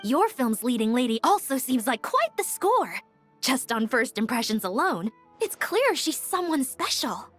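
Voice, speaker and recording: A woman's voice: high-pitched, sing-song, a little slow, fairly bright tone, faint music behind, full character voice. Lilting, composed young woman. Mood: intrigued. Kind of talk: animated game voice acting.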